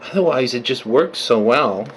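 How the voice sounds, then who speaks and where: Male hobbyist presenter, casual and conversational, mid-pitched voice, faint noise behind him. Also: animated, moderate pace. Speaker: vintage radio collector presenting his restoration. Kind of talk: casual unscripted video demonstration.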